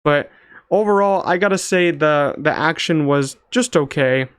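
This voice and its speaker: A man's voice: a little high, measured pace, fairly bright tone, smooth, no room sound, clean studio sound. A young man giving a casual, off-the-cuff movie review in a loose, slangy, hedging way. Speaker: movie reviewer, channel host.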